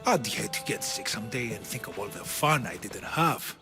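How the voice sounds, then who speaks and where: A man's voice: fairly low pitch, animated, normal speed, music in the background. An actor playing a caring, flirtatious male caretaker in a video game cutscene.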